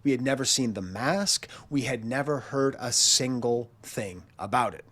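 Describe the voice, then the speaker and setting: A man's voice: medium pitch, lively intonation, normal speed, fairly bright tone. A man in his forties with a nasal, congested voice from a chest cold, chatting through a self-mocking story in a casual monologue and acting out quotes. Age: 40s. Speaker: channel host.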